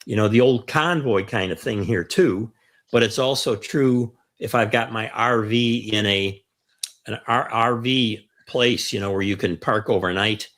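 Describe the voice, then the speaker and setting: Low-pitched man explaining point by point. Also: unhurried, fairly bright tone. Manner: emphatic, repeats key phrases. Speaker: co-host of a ham radio show. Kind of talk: conversational explanatory talk, counting off points.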